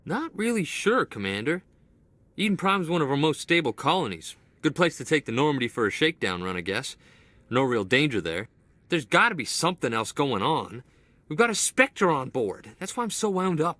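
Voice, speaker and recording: An actor playing an eager, restless young male corporal in a video game cutscene, talking in an excitable, boyish way. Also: on the high side, brisk, dry recording. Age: young adult.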